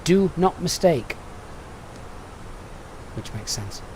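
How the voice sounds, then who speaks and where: A man's voice: fairly high pitch, sing-song, very slow, darkish tone, a little hiss or hum, poor audio. Chatty Sheffield man briefing a flight. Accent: Sheffield English. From Sheffield, England. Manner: methodical, chatty, reads out viewer comments. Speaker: flight sim streamer. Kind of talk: live-stream briefing and chat.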